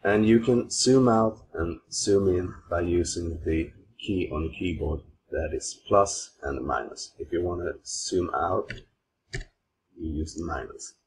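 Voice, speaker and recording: Very deep-voiced Swedish man, halting. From Sweden. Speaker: tutorial host. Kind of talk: informal software tutorial. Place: voice-over recorded at an old computer. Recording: steady clear background noise, mouse clicks.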